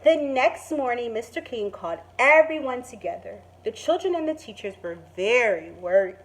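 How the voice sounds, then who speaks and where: Woman teacher, children's read-aloud, animated pitch, slightly high voice, emphatic dramatic storytelling. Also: normal speed, low-quality recording. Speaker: teacher reading to young pupils.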